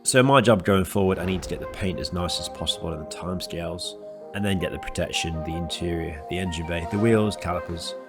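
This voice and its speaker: A man's voice: sits low, a little fast. A man with a cold, sounding nasal and congested, narrating his car detailing video in a methodical, explanatory way. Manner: methodical, explanatory, lists steps one by one. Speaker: car detailer and channel host. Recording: voice-over with loud music underneath.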